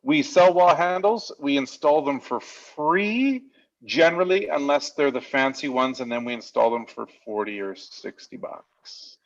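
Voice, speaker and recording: A man's voice: mid-range voice, expressive, measured pace, highs cut off. A man co-hosting a casual live-stream chat, reading out viewer questions in a joking, chatty way. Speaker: co-host of a knife shop Q&A stream.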